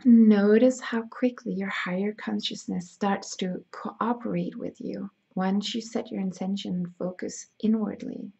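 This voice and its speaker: Slow, husky-edged woman, gravelly and soft. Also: medium pitch, steady tone. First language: Danish. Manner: soft, slow, soothing, drifting into whispers. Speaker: spiritual healer. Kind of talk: slow guided meditation reading. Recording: dull lo-fi sound.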